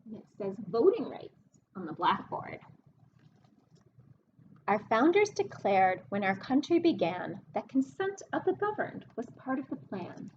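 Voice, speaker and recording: A woman librarian reading a picture book aloud to children, expressive and explanatory, pausing to speak to her young listeners. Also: fairly high pitch, muffled recording, slight background noise. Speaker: children's librarian hosting story time.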